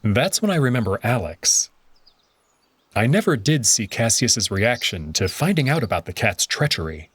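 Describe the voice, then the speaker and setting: A man's voice: mid-range voice, very animated, normal speed, breathy edge, no room sound. A man giving reflective first-person narration of a visual novel, voicing its lead character.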